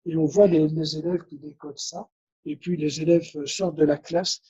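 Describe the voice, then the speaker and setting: A man's voice: on the high side, steady tone, moderate pace, mellow, husky, lo-fi sound. A man from Belgium whose first language is Dutch, giving a conference lecture, restarting phrases and adding tag questions. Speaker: conference speaker.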